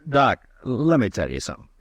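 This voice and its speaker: Steady frontier lawman, measured and dry, close dry mic. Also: medium pitch, no room sound. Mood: calm. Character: calm, steady male frontier marshal. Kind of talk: 1950s radio drama acting.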